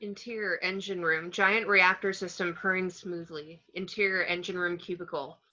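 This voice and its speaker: A woman's voice: lowish voice, steady tone, moderate pace, bright, forceful tone. A woman reading out screenplay scene descriptions as the narrator of a script table read. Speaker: reader of the action description. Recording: dull, lo-fi sound.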